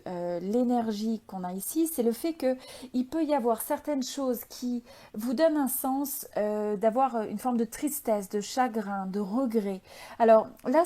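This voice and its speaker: A woman's voice: a little high, warm tone. A woman giving an unscripted spiritual tarot reading, reflective and hesitant as she searches for her words. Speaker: tarot reader, channel host.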